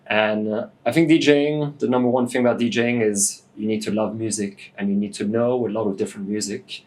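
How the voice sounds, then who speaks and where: A man in his late twenties, French-born and raised in Hong Kong, talking in a relaxed, thoughtful way as a DJ guest, with many fillers. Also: lowish voice, brisk. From France, raised in Hong Kong. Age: 20s. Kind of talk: casual interview.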